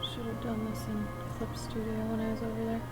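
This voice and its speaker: A young woman with a raspy voice, chatting in a chill, laid-back way with viewers while drawing on a livestream, sniffing now and then. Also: on the high side, fairly quick, far from the mic, loud music behind the voice. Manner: chill, relaxed, sniffing often. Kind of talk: casual chat with stream viewers while drawing. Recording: steady background noise.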